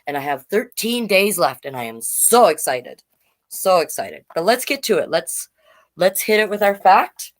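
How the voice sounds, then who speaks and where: A woman's voice: fairly low pitch, sing-song, moderate pace. A woman hosting a children's storytime livestream, chatting casually and reading out facts, often getting sidetracked and correcting herself.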